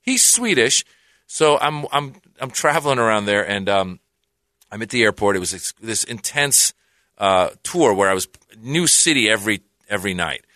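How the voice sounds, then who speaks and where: Bright-voiced man telling an animated story. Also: fairly low pitch, moderate pace, no room sound, clean studio sound. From Iran. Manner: animated, rambling, with restarts and acted-out quotes. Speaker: comedian guest. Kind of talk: casual storytelling chat.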